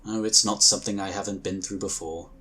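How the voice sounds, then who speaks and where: An actor playing a calm, courteous male psionic inquisitor, speaking formally and gently in a husky voice. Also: on the low side, brisk. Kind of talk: audio drama acting.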